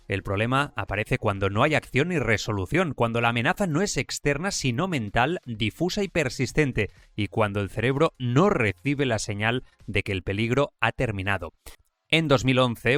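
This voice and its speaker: Male science podcast narrator, Spain accent, medium pitch, scripted delivery, very dry sound. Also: fairly bright tone, no room sound. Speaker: podcast host.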